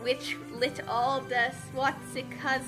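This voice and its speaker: Female story reader, high-pitched and unhurried, playful dramatic delivery with chatty asides. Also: little pitch movement, over music. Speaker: reader and co-host of a group story reading. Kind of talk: dramatic read-aloud of a badly written fan story, with casual asides.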